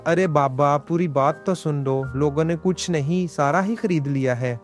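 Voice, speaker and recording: A man voicing an earnest, humble village farmer and husband in a Hindi cartoon story, with expressive delivery. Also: fairly high pitch, unhurried, close to an everyday voice. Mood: cheerful, eager. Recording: background music under the voice.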